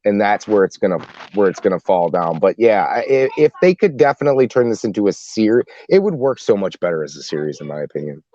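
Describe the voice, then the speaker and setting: A man talking as a podcast panelist in a casual discussion, building his points haltingly with repeated words. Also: lowish voice, big pitch swings, mellow, no room sound, highs cut off.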